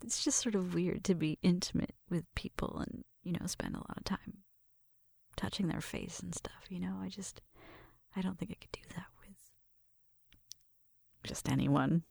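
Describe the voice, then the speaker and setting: A woman playing a shy, flirtatious roommate in an intimate ASMR roleplay, speaking in a breathy, hesitant, tender whisper. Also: on the low side, moderate pace, pauses often, a little mellow, no echo, clean studio sound. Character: shy, flirtatious female roommate giving an affectionate Halloween makeover.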